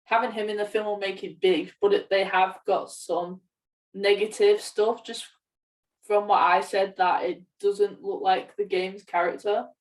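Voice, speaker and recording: A woman's voice: mid-range voice, fairly even intonation, measured pace. A young woman chatting casually as a podcast co-host. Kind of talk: casual chat. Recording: voice distant from the microphone.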